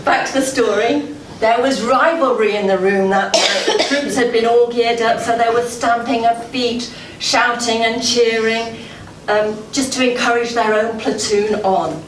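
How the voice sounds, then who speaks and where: A woman delivering a prepared after-dinner address as club president, telling a story in a deliberate, measured way with dramatic pauses. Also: on the high side, fairly even intonation. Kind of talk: prepared public speech, storytelling. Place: indoor gala dinner room before an audience. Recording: echoey room, far from mic, noisy.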